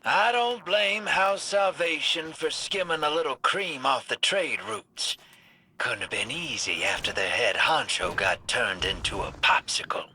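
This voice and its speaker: A man's voice: fairly high pitch, expressive, a little slow, pushed and bright, a little hiss or hum, heavily acted. An actor voicing a cocky, wisecracking male space smuggler with a sardonic, drawling, slangy delivery in a video game. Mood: wry.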